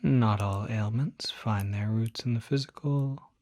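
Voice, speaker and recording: A courteous, reassuring demon doctor, played by a man in an ASMR roleplay. The delivery is very slow and gentle, with a politely formal bedside manner that often slips toward a whisper. The tone is dark and relaxed, captured close and dry. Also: lowish voice, dry recording, natural voice. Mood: measured, explanatory. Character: courteous, soft-spoken male demon doctor, fussy and reassuring. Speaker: doctor at a supernatural clinic.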